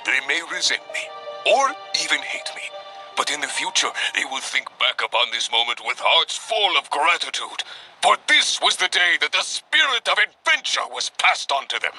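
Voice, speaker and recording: An actor playing a hearty middle-aged adventurer in a video game, grandiose, jovial and long-winded. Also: male voice, on the high side, big pitch swings, moderate pace, bright, forceful tone, heavily acted, low-quality recording. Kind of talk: video game cutscene dialogue. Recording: music behind the voice.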